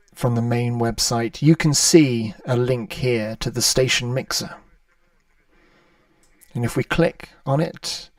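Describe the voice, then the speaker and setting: A man presenting an online radio livestream in a measured way, walking listeners through a demonstration. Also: mid-range voice, no echo, recorded from a distance. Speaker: online radio station host. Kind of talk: calm livestream presentation with website demo. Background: ambient music.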